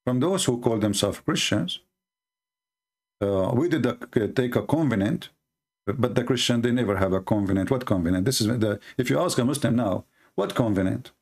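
Gravelly, mocking man with an Arabic accent. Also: medium pitch, lively intonation, normal speed, darkish tone. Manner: mocking, combative, sarcastic. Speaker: debate host. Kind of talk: screen-share debate commentary.

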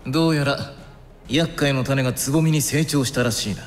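An actor playing a cold, haughty young intellectual villain who speaks pompously and analytically in an anime game. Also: male voice, medium pitch, steady tone, brisk, natural voice. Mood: cold, threatening. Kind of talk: anime game cutscene acting. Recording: long reverb, music behind.

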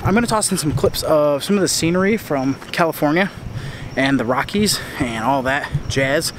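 A young man with an American accent and a slightly high voice chats to a handheld camera. He is earnest and rambling, with casual bro slang. Clear street noise surrounds him outdoors. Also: animated, moderate pace, fairly bright tone. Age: young adult. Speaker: tour videographer and vlogger. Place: walking on a town street at night. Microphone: camera at arm's length. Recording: noisy outdoor street at night.

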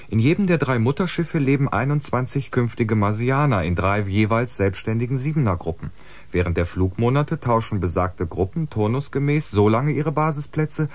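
An actor playing an assured spaceship coordinator, explaining in an official manner in a German audio drama. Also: male voice, medium pitch, close to an everyday voice. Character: assured male spaceship coordinator guiding a newcomer. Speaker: coordinator and guide.

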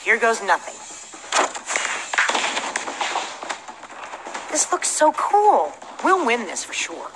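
An actress playing a practical, confident young woman in a video game, brisk and decisive. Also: fairly high pitch, huge pitch swings, over music. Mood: hopeful.

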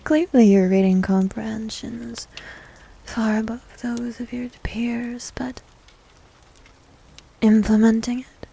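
A woman playing a needy, teasing, doting succubus demon servant in an intimate ASMR roleplay, breathy and mostly whispered, close to the microphone. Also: fairly high pitch, fairly even intonation, warm tone, exaggerated voice, low-quality recording. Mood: admiring, coy. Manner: coy, teasing, slow and drawn-out.

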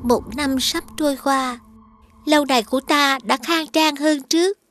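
A woman playing a regal, commanding young princess in an animated fairy tale. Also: sits high, normal speed, music in the background, close to an everyday voice. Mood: wistful.

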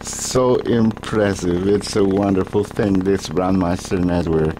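Deep-voiced Chilean man on ham radio. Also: steady tone, a little slow, noisy background. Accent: Chilean Spanish. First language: Spanish. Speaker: amateur radio operator. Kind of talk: ham radio contact with commentary. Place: indoors at a desk, small room.

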